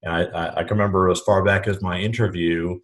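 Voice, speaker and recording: A man's voice: sits low, steady tone, fast talker. A middle-aged man from the Chicago suburbs, a retail educator, speaking as a podcast guest in a thoughtful way, often repeating words as he restarts phrases. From Chicago suburbs, USA. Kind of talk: conversational podcast interview.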